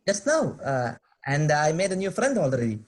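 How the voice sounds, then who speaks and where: A man's voice: a little high, big pitch swings, normal speed. A man reading the part of an earnest, polite teenage boy from abroad in a table read, formal and hesitant.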